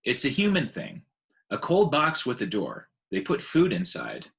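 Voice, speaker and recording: A man's voice: mid-range voice, huge pitch swings, measured pace, vocal fry, a bit bright, exaggerated voice. A man as a patient, weary gorilla. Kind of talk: children's book read aloud.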